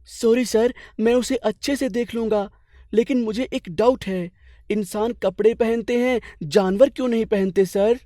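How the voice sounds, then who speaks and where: A man's voice: high voice, measured pace, dark tone, no echo, clean studio sound, voice pushed up. A man voicing a naive, lazy, whining male servant with a sly streak in a comic cartoon folk tale. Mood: naive, protesting.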